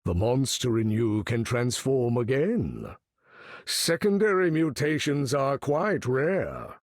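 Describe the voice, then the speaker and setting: A male actor playing a proud aging mutant leader in a video game, with a gravelly, husky voice and a grand, formal, commanding manner. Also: medium pitch, animated, takes it slowly, no room sound, clean studio sound. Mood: resolute. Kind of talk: video game character voice acting.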